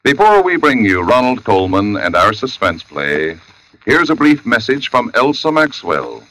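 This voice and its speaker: A man's voice: mid-range voice, very animated, measured pace, sharp tone, dry recording, rough recording. A man reading a 1940s radio advertisement as a polished, emphatic commercial announcer.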